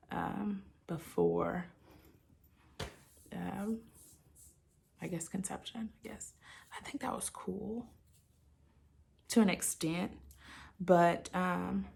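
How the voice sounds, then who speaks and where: A woman sharing a personal story with listeners in a candid, halting, reflective way, often qualifying what she says and voicing her own thoughts expressively. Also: on the low side, vocal fry, fairly bright tone. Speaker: host of a personal channel.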